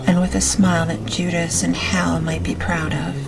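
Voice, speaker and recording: A woman reading an audiobook in a gentle, soft, measured and dramatic way. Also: on the low side, little pitch movement, gravelly, rough recording. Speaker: audiobook narrator. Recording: music behind the reading. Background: music, storm ambience of rain and thunder.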